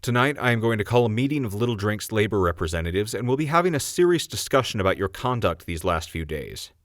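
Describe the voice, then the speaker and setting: A man's voice: fairly low pitch, very animated, fast talker, dry recording, clean studio sound. A man voicing a stern town judge who speaks formally and scolds the defendants.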